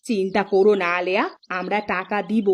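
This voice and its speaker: A woman's voice: mid-range voice, little pitch movement, a little fast, lo-fi sound, close to an everyday voice. Firm, big-hearted village wife, acted by a woman. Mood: reassuring, generous. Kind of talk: Bangla cartoon dialogue acting.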